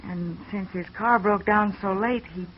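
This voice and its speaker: A woman's voice: mid-range voice, a little slow, a little hiss or hum, natural voice. A woman playing a sullen, guarded young woman on a remote farm in a 1940s radio drama, curt in manner, on a muffled old recording.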